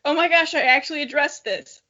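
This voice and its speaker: A woman's voice: high voice, fairly quick, sharp tone. A young woman reading a story dramatically and chatting casually about it, with a giggly, playful, self-mocking manner. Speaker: podcast co-host. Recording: dull lo-fi audio.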